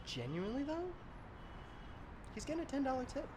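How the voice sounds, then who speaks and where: A man's voice: very high voice, big pitch swings, fairly quick. A man playing an uneasy, placating car passenger with a hesitant, dry delivery in an improvised audio comedy. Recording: very noisy car, sirens. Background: vehicle noise and sirens.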